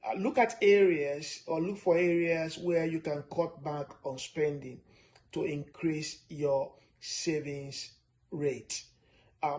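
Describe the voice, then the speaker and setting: A man from Nigeria giving a steady, repetitive finance lesson, stressing his points with frequent "uh" fillers. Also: on the high side, a little hiss or hum. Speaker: personal finance presenter. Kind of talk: instructional talk to camera.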